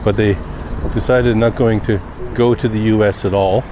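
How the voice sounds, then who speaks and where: A man with a fairly low voice shares unscripted thoughts for a daily vlog. He rambles reflectively, pausing to find his words. Clear vehicle and outdoor noise runs behind him. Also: fairly even intonation. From Canada. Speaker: daily vlogger. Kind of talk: unscripted personal vlog monologue. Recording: noisy outdoor recording with vehicle sounds.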